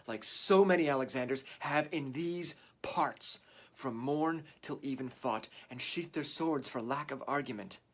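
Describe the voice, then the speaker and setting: An actor playing a warrior English king rousing his troops in a declamatory, deliberate Shakespearean stage monologue. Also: medium pitch. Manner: declamatory, deliberate, swinging from hushed to shouted.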